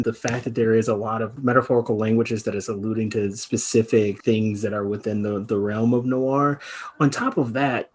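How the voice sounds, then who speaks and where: Man, husky voice, mid pitch, reflective podcast panelist, rambling and thoughtful. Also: a little mellow. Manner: reflective, winding, laughs easily. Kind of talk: casual discussion.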